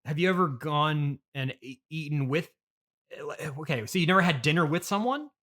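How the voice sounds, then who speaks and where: A man's voice: on the high side, a bit bright. Casting: American man, casual interviewer in improv comedy, hesitant and courteous, the puzzled straight man. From United States, Illinois. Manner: halting, politely baffled straight man. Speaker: podcast host and interviewer.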